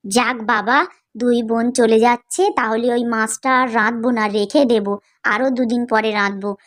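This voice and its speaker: A very high-pitched young village wife from a cartoon , played by a woman. She is miserly and calculating, with a brisk, grumbling, fussy way of talking. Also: fairly even intonation, fairly bright tone. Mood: scheming. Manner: complaining, brisk, penny-pinching fussiness. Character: stingy, grumbling young village daughter-in-law, calculating and curt. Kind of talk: cartoon family drama acting.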